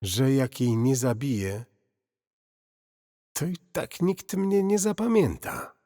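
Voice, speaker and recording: A man's voice: medium pitch, very animated, takes it slowly, warm tone, voice pushed up. A man voicing a desperate, scornful man bent on revenge in a horror audio drama, defiant and fatalistic. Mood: resigned. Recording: cavernous long reverb.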